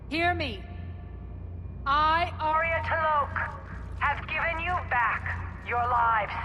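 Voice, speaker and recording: Imperious alien queen, forceful bright voice, slowish delivery, declamatory, commanding, game speech. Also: high voice, full character voice. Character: imperious alien queen addressing her citizens. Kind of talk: video game public address.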